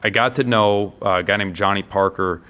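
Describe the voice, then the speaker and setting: A man's voice: on the low side, steady tone, fairly quick, pushed and bright, clear, resonant tone. An American man, a college football head coach, speaking earnestly and emphatically in a conversational interview, laying out his points one by one.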